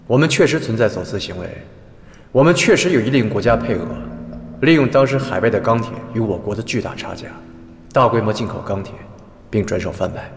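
A composed defendant who confesses in court with a measured, solemn delivery. His voice sits fairly low. It rings with long reverb across an echoey courtroom, and faint music plays underneath. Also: lively intonation, slight background noise.

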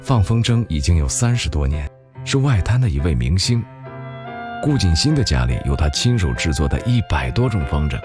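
A man reading scripted news feature narration in a measured, even-paced way. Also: low-pitched. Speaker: documentary narrator. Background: background music.

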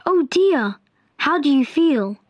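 A woman voicing a friendly schoolboy calling his sick friend, with clear, careful delivery in a textbook dialogue. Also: sits high, expressive, slow, no echo. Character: friendly young schoolboy Binbin.

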